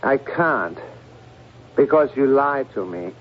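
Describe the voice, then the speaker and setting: An actor playing a stern doctor, questioning firmly and deliberately in a 1970s radio drama. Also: male voice, mid-range voice, expressive, a little hiss or hum. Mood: stern, probing. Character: stern, probing male doctor confronting his patient. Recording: thin, phone-like old radio broadcast.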